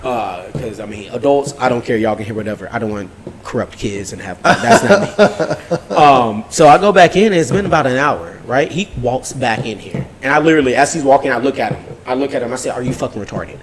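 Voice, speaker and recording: A man telling a story in an animated, casual way, swearing freely in slangy speech and acting out both sides of arguments. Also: mid-range voice, fairly quick, a little hiss or hum. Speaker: podcast host recapping his weekend.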